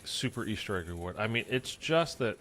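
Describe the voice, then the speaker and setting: A man's voice: lowish voice, expressive, a little fast, fairly bright tone, a little hiss or hum. A man casually chatting through a zombies game session, rambling with lots of fillers and sounding stuffy and congested. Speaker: gaming streamer. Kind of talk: casual gameplay commentary.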